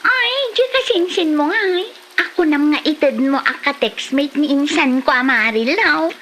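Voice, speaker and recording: A woman's voice: high-pitched, lively intonation, moderate pace, a bit bright, a little hiss or hum. A woman acting a flirtatious, gossipy villager in an Ilocano comedy drama, with an Ilocano accent.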